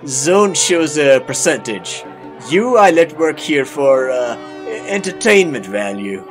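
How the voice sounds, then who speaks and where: A man voicing a gruff, grunting bartender in an audiobook, speaking higher and louder than his narration. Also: sing-song, takes it slowly, faint music behind. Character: grunting ugly male bartender with hoarse voice.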